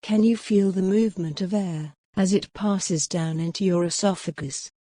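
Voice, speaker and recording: A woman narrating a guided meditation in a measured, instructional manner, pausing gently between phrases. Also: medium pitch, no room sound, clean studio sound.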